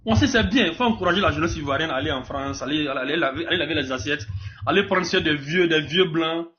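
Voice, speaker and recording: A man's voice: on the high side, quick pace, bright, forceful tone, a little hiss or hum. A man with a Burkinabé accent arguing off the cuff in a live political debate, emphatic and repetitive, often stumbling over his words. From Burkina Faso. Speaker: debate participant. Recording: dull lo-fi live stream audio.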